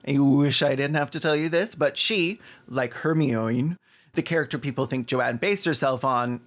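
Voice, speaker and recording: A man's voice: mid-range voice, normal speed. A man with a Canadian accent delivering scripted comedic commentary in a sardonic, theatrical way. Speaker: video essay host.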